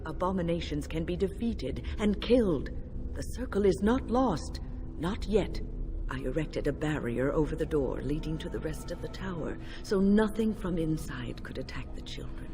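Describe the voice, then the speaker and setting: A woman's voice: sits low, animated, moderate pace. A woman playing a firm, protective older mage in a video game cutscene, speaking formally and resolutely. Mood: determined. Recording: loud music behind the voice.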